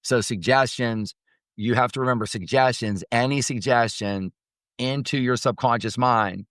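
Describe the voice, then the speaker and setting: A man's voice: mid-range voice, normal speed, fairly bright tone, dry recording, studio quality. A man speaking as a motivational coach and host, teaching off the cuff in an emphatic way and repeating phrases for stress. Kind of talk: spontaneous motivational teaching talk.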